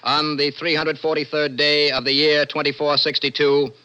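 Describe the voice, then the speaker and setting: An actor with an American accent playing a cold, formal young lieutenant judge of a dystopian court, in 1960s radio drama style. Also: male voice, fairly high pitch, level tone, moderate pace, pushed and bright. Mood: cold, unbending. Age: young adult. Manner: cold, formal, clipped pronouncements. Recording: dull old-time radio recording.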